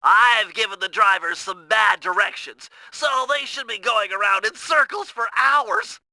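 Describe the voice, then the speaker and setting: A man's voice: high-pitched, expressive, a little slow, vocal fry, sharp tone, no echo, heavily acted, low-quality recording. A man voicing a brainy, cautious young turtle strategist in a cartoon video game, with a thin, nerdy voice and precise, wordy, instructional delivery. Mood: earnest, scheming. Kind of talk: video game mission briefing and tutorial voice acting.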